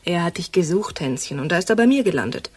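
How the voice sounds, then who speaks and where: A woman with a husky voice acting a familiar, plain-spoken confidante in a radio crime drama, direct and matter-of-fact. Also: fairly low pitch, lively intonation, fast talker.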